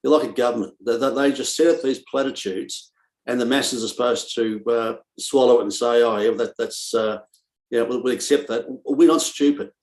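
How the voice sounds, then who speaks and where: A man's voice: mid-range voice, moderate pace, a little mellow, roomy sound, rough recording. A man with an Australian accent, ranting sarcastically and scathingly on a sports talk radio panel.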